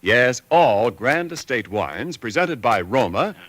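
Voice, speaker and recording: A man's voice: mid-range voice, very animated, slow, a bit bright, dry recording. An American man working as a 1940s radio announcer, reading scripted sponsor copy in a polished, promotional manner.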